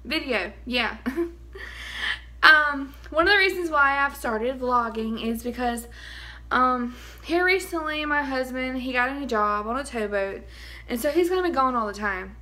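A woman's voice: high voice, animated, unhurried, pushed and bright. Chatty woman, slightly scratchy. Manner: chatty, nervous, keeps saying y'all. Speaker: vlogger. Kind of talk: casual product review vlog. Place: small bedroom indoors. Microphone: phone camera close up.

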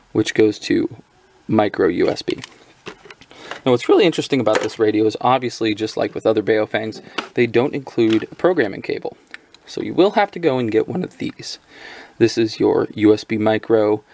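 A man's voice: medium pitch, creaky, a little mellow. A man giving a casual, unscripted gadget overview as a reviewer, explaining things informally. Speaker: product reviewer.

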